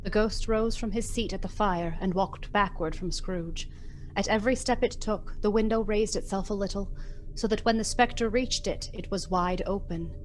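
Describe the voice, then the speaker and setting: A woman giving measured, expressive scripted narration as the storyteller of a radio drama. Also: medium pitch, slight background noise, rough recording.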